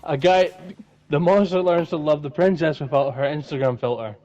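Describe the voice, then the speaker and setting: A man's voice: on the high side, moderate pace, warm tone, dull audio. A man co-hosting a podcast in casual, bantering, informal chat. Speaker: podcast co-host. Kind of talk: casual chat, giving clues.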